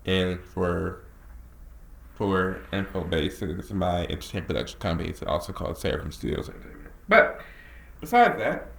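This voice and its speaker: A man of nineteen talks casually and earnestly to camera as a creative director, drawling some words and rushing others. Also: low voice, very animated, creaky, fairly bright tone. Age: young adult. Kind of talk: casual talking-head vlog. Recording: echoey room.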